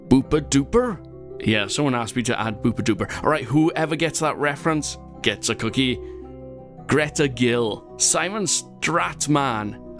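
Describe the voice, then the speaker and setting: A man's voice: mid-range voice, a bit bright. Chatty Welsh man narrating gameplay. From Wales. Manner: chatty and self-mocking, rambling asides, frequent laughs. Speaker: gaming channel host. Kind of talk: casual gameplay commentary.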